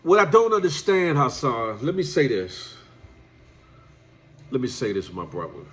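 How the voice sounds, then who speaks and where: A man's voice: mid-range voice, very animated, unhurried, a bit bright. A man with a stuffed-up, nasal voice from allergies, giving a preachy direct-address commentary and calling the person he lectures "my brother.". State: congested from allergies. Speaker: YouTube commentator.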